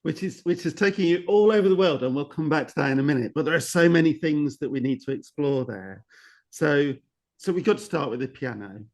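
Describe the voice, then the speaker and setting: A man's voice: on the high side, animated, a little fast, darkish tone. A male podcast host asks long, winding questions. He circles back on himself and often repeats words as he works toward his point. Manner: rambling, repeats words, many restarts. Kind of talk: podcast interview.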